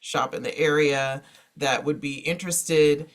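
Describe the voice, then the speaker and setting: A man's voice: a little high, fairly even intonation, measured pace. A man speaking as a panelist in an informal online presentation, in a casual, conversational way. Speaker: panelist and former teacher.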